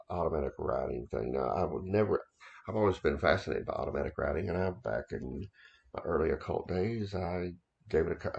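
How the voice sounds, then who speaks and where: A man in his thirties or older speaks in a very low, heavily gravelly voice. He tells a personal story in a loose, unscripted way, circling back, repeating phrases and pausing on fillers as he feels his way through the account. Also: darkish tone, dull audio. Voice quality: very deep gravelly voice. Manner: halting, repeats himself, many restarts and fillers. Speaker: occult author recounting experiences. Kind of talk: casual first-person storytelling.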